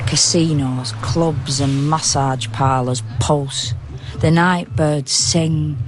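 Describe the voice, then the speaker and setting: Radio drama narrator played by an actor: solemn, all-knowing, unhurried poetic delivery, ambient noise underneath. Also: mid-range voice, fairly even intonation, close to an everyday voice. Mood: hushed, lyrical. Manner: measured, rhythmic verse delivery. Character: solemn omniscient storyteller of a city at night.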